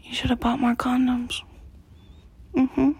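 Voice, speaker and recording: A woman performing an intimate audio roleplay in a soft, close whisper. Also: high voice, completely flat delivery, slow pace, background noise.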